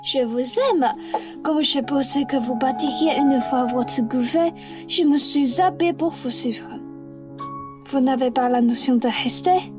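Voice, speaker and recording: A woman's voice: high-pitched, a little slow. A young woman playing a lovestruck, headstrong young woman who pleads and insists in a comic radio play. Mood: indignant, insistent. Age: young adult. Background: music.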